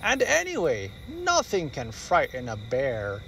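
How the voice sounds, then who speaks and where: Man playing a blustering, animated daddy bear. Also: mid-range voice, a little slow, vocal fry, a little hiss or hum, heavily acted, low-quality recording. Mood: boastful, reassuring. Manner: blustering, theatrical. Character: boastful father bear, secretly cowardly, storybook.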